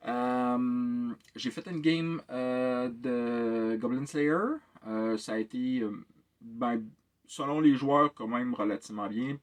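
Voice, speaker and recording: A man's voice: medium pitch, slow pace. Hesitant Québécois guy, tired. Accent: Québécois French. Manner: hesitant and rambling, many filler sounds and repeated words. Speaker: tabletop RPG game master and YouTuber. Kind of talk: casual solo vlog update.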